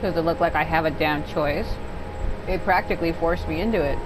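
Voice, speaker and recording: A woman's voice: low-pitched, a little fast, heavy background noise. A woman doing gameplay commentary, reading dialogue aloud and adding blunt, wry asides. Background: game music.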